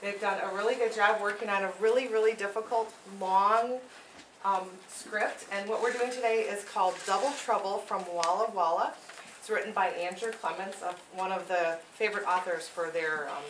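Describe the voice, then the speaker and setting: Woman hosting, in an echoey, noisy room. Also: mid-range voice. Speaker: library program host. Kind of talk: informal introduction to an audience. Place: room at a public library. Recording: echoey room with clear background noise.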